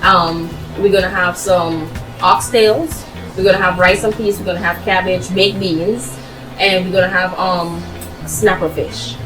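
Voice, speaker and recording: A woman's voice: fairly low pitch, fairly even intonation, measured pace, low-quality recording. Woman chatting casually over music. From Guyana, raised in Barbados. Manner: chatty, explanatory, peppered with "you know". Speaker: restaurant owner, interview guest. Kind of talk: casual interview chat.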